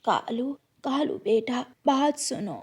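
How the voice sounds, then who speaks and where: A woman plays an old grandmother bird in a children's cartoon. The voice is high and gentle, affectionate with a shrewd streak. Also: animated, takes it slowly, breathy edge, heavily acted. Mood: kindly, asking. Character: elderly mother bird, kindly but shrewd grandmother.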